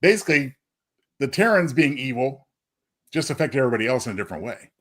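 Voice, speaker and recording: Lively-toned man co-hosting a podcast. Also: mid-range voice, quick pace. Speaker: podcast co-host. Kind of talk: casual panel chat.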